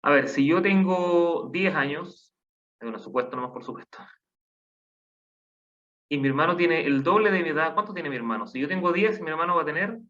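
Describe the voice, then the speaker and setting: Patient man teaching math slowly. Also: a little high, expressive, a bit bright. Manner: patient, counts aloud slowly, asks the class questions. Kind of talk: online class explanation. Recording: dull lo-fi online class audio.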